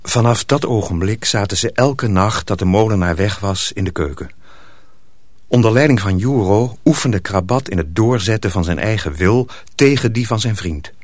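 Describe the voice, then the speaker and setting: A man narrating a radio play in a measured, literary storytelling manner, as a sober teller of a dark folk tale. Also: on the low side, no echo, natural voice. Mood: measured, grave.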